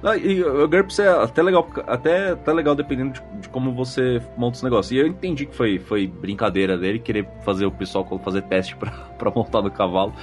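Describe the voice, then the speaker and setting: A man's voice: medium pitch, a little fast, hardly any pauses. A man with a Brazilian accent hosting a podcast, chatting casually in a jokey, slangy way and reading listener comments. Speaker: podcast host. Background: background music.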